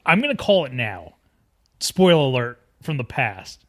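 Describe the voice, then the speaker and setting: A man's voice: mid-range voice, very animated, moderate pace. A man hosting a film podcast, chatting casually with a jokey, riffing manner. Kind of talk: casual film discussion, bantering.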